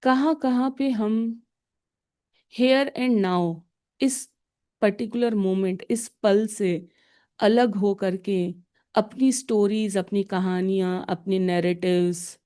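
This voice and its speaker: A woman guiding a meditation with calm, deliberate instructions in short, separated phrases. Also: medium pitch, smooth. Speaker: guided meditation teacher.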